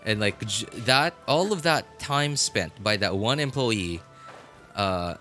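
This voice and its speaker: A man's voice: fairly low pitch, animated, slow pace, bright, forceful tone, soft background music. A man chatting casually and at length as he livestreams a game. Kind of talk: casual rambling stream chat.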